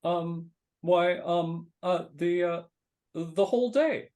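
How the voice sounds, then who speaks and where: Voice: medium pitch, slow pace, mellow, natural voice. A performer reading a meek, polite male frog clerk, hesitant and deferential, in a live dramatic reading. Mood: pleading. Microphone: video call.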